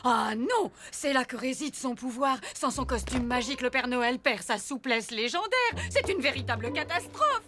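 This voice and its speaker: A woman's voice: high-pitched, animated, moderate pace, hardly any pauses, pushed and bright, soft background music. An actress playing a devoted, grumbling female elf in a French children's cartoon, dramatic and emphatic. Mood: alarmed, indignant. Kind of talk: children's animated cartoon dub.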